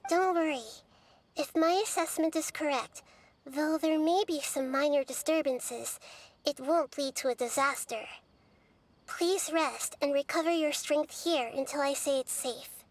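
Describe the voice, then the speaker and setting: A gentle, wise girl goddess. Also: high voice, slight background noise. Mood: reassuring. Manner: soft, measured and reassuring. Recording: game audio with loud music.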